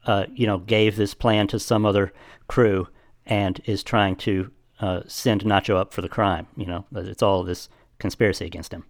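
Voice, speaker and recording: Lowish-voiced man recapping, dry sound. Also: steady tone, normal speed, dry recording. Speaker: podcast co-host. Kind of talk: casual plot recap.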